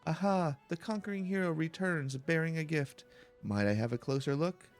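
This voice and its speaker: A man's voice: on the high side, normal speed, faint music behind, pitched above the performer's own voice, natural voice. A man voicing a fussy, effusive scholar woman from a fantasy game, wordy and theatrical. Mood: delighted.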